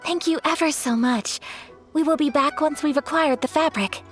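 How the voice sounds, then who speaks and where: An actress playing a poised, courteous young noblewoman who speaks formally and softly. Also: high-pitched, moderate pace. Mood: polite. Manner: formal, polite, soft-spoken. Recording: game dialogue over music.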